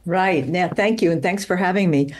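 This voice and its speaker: A woman's voice: fairly low pitch, normal speed. A woman representing an advocacy group on a live panel over a video call from her living room, earnest and rallying.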